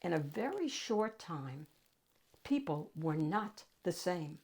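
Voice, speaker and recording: Animated woman reading a picture book. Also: on the low side. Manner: dramatic pauses, drawn-out key words, addresses young listeners. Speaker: read-aloud host. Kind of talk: expressive picture book read-aloud.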